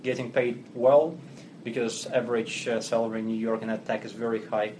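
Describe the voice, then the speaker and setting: A man's voice: medium pitch, flat delivery, brisk, darkish tone, a little hiss or hum, poor audio. A man from Russia with a Russian accent, a company chief speaking as a podcast guest in plain businesslike talk with many fillers. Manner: fluent but non-native phrasing, businesslike, frequent fillers. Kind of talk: podcast interview.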